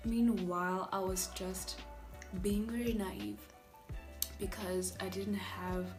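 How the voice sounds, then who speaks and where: A South African woman in her twenties, a native Afrikaans speaker, telling her student story in a chatty, animated way with breathy asides. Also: fairly low pitch. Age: 20s. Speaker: vlogger, university student. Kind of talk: candid personal storytelling vlog.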